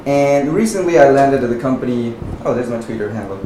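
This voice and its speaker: A man's voice: medium pitch, animated, brisk, mellow. A man from Nepal in his thirties giving a conversational tech talk to a meetup audience, peppering his sentences with "uh" and "right?". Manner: conversational, frequent "uh" and "right?" tags. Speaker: conference speaker. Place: meetup venue, audience present. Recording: echoey room from far off, noisy.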